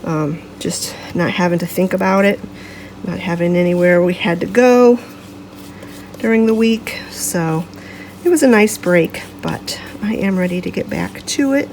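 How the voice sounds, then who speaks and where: Congested, gravelly-voiced woman chatting. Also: on the low side, unhurried, a little mellow, slight background noise, low-quality recording. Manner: rambling and chatty, self-correcting. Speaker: vlog host. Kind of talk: casual vlog chat.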